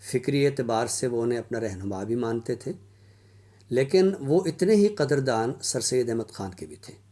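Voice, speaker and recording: A man giving a scholarly literary lecture in a formal, deliberate manner with pauses. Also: mid-range voice, warm tone.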